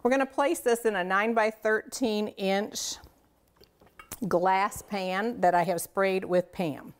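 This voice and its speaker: A woman's voice: mid-range voice, steady tone, slow pace, smooth. A middle-aged woman hosting a casual cooking demonstration, chatty, folksy and enthusiastic as she talks straight to viewers. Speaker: cooking show host. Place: home kitchen, indoors.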